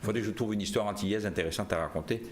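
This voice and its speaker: A man from Martinique, a guest author, talking haltingly with many restarts in a live studio interview. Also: fairly low pitch, a little hiss or hum.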